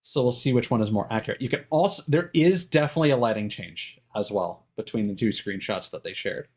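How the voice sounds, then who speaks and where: A man's voice: on the low side, fairly quick. A man doing casual, rambling live stream commentary on a game, thinking aloud in hesitant fragments.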